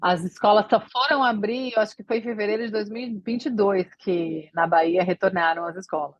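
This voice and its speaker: Woman, from Brazil, podcast guest, rambling casual chat, mid pitch, dull lo-fi sound. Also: brisk, no room sound. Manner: chatty, many fillers and restarts.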